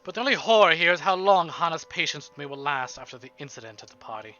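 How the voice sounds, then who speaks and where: A man's voice: on the high side, animated, quick pace, pushed and bright, muffled recording. A man live-streaming a visual novel, reading it aloud dramatically with casual, joking, sweary asides, over music. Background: game music.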